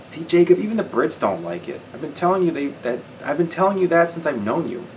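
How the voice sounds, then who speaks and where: A man's voice: fairly high pitch, big pitch swings, quick pace, distant mic, a little hiss or hum, pitched above the performer's own voice, poor audio. A man voicing a teasing, childish male soldier ribbing his friend.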